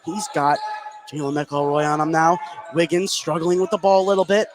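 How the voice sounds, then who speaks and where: A man with a fairly high voice gives live play-by-play of a basketball game. His delivery swells as the action heats up. Clear gym noise runs behind him. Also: steady tone, moderate pace. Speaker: play-by-play announcer. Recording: clearly noisy gym ambience.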